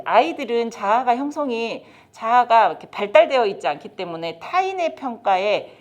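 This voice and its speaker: Middle-aged woman teaching a class, pitch jumps around a lot, asks the room questions. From South Korea. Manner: lively, talks straight to the audience with questions. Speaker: lecturer on child development. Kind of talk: training lecture to caregivers. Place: lecture room.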